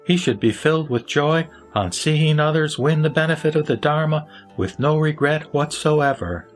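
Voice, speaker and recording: A man's voice: fairly high pitch, expressive, normal speed, faint music behind, voice pushed up, lightly acted. A man voicing a wise lay teacher who answers solemnly and formally in a scripture audio drama. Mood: solemn teaching. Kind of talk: audio drama sutra recitation.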